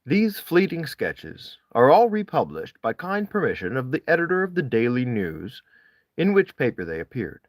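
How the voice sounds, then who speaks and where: A man reading classic literary prose aloud, deliberately, with dramatic rises and falls in his phrasing. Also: mid-range voice, fairly bright tone, dry recording, clean studio sound. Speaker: audiobook-style reader of classic essays. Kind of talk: literary read-aloud, expressive scripted narration.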